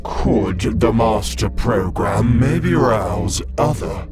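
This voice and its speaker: Man voicing an achingly slow, ancient robotic caretaker. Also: fairly low pitch, lively intonation, voice pushed up. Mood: weary pleading. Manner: slow, solemn, drawn-out archaic speech. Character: weary ancient robotic caretaker of a tomb world. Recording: music behind the voice.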